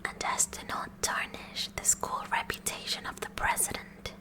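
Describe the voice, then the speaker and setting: A woman playing a kooky, eager teenage science club president in a breathy whispered ASMR roleplay, speaking in a deliberate, mock-official way. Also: close to an everyday voice. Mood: officious, eager. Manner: slow, deliberate, mock-official with awkward stammers.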